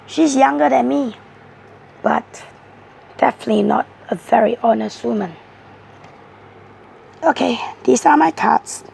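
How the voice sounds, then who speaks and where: A woman with a hoarse voice, giving a rambling, halting monologue as a tarot reader. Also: high voice, a little mellow, slight background noise. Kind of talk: casual tarot video monologue.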